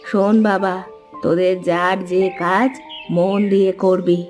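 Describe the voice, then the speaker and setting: A worried village housewife and mother played by a woman in a cartoon fairy tale. Her voice sits at a middling pitch and nags with care. Music plays behind her. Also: little pitch movement, unhurried, a little mellow, lightly acted. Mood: motherly urging.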